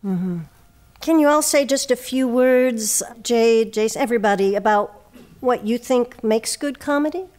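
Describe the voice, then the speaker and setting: A woman with a fairly high voice works as the host of a theatre show. She speaks in a presenter's manner, suited to interviews and announcements. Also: unhurried, darkish tone, no echo. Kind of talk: hosted interview and scripted credits.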